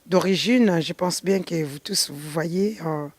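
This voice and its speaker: A middle-aged woman from Congo giving a slow spoken testimony and preaching, pausing and restarting as she talks. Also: on the low side, slight background noise. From Congo (DRC). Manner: slow and deliberate, with hesitant restarts.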